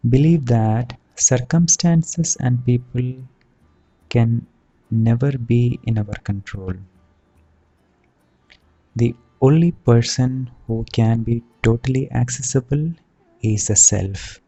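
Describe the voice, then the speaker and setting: A man leads a guided meditation as a teacher, speaking soothingly and deliberately in short phrases with long pauses. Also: medium pitch, warm tone, low-quality recording. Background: background music.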